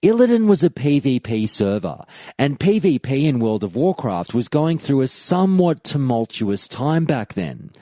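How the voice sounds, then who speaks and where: A man's voice: medium pitch, big pitch swings, moderate pace, mellow, no room sound, clean studio sound. A man hosting a history podcast, reading a scripted, earnest account and quoting old forum posts. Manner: earnest storyteller, reads quoted posts aloud. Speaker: podcast host.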